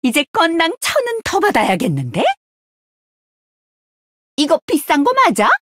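A woman's voice: high-pitched, wildly swooping pitch, unhurried, no room sound, exaggerated voice. A woman voicing a brash, money-hungry young female mercenary leader in a game, with cocky, theatrical taunts. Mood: smug, haggling. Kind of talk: Korean mobile game character voice lines.

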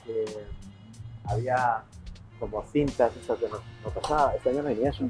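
Middle-aged male, Spain accent, rambling street-side storyteller, mid pitch, faint music behind. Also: moderate pace, darkish tone. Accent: Spanish from Spain. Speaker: guest telling anecdotes. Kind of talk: casual anecdotal chat. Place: outdoors on residential and city streets.

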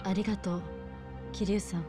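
An actress playing a gentle, sorrowful bar hostess in a game drama, speaking softly and hesitantly. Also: female voice, mid-range voice, fairly even intonation, normal speed, over music, natural voice. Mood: subdued. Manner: hesitant, soft-spoken. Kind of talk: dramatic Japanese video game acting.

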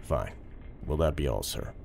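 An actor playing a principled, stubborn police officer who speaks in a measured, firm and formal way in an audio drama. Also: male voice, a bass voice, expressive, creaky, background noise, heavily acted. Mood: resigned.

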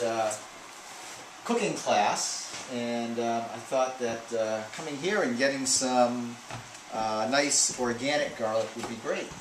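A man hosting a cooking class, chatting casually inside a farm stand and pausing on many "uh" fillers. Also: medium pitch. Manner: friendly, halting, many "uh" fillers. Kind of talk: casual interview on a farm visit. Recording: echoey room with loud background noise.

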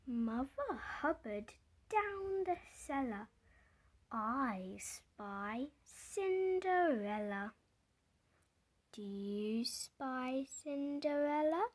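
A storybook reader going through a picture book aloud in simple, deliberate phrases, pausing and putting questions to the listener. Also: high voice, lively intonation. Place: indoors, book held before the camera.

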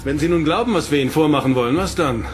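A man's voice: fairly high pitch, animated, very fast, soft background music, lightly acted. A man playing a bold, sceptical, defiant prisoner in a sci-fi radio drama.

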